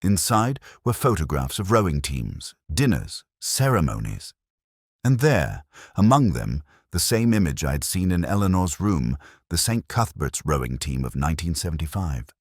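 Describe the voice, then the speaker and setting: A middle-aged man reading a scripted first-person detective story in a measured, brooding manner, with deliberate pauses. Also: low voice, dry recording, clean studio sound. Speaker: narrator.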